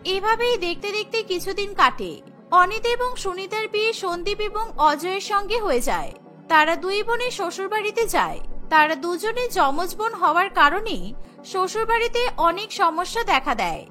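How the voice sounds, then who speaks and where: A woman's voice: extremely high pitch, lively intonation, normal speed, sharp tone, soft background music. A woman narrating a scripted cartoon story.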